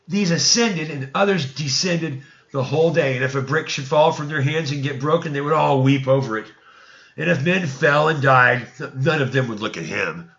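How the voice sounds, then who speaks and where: A man's voice: on the high side, creaky, a bit bright. Dull-sounding recording of a man reading scripture. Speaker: narrator reading scripture aloud. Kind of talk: read-aloud narrative of an ancient religious text.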